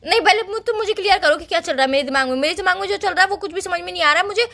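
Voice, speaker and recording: A young woman with an extremely high, sharp voice in a casual phone argument. She fires off questions quickly and insistently, slipping into pleading as she presses her partner. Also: gravelly. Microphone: phone call.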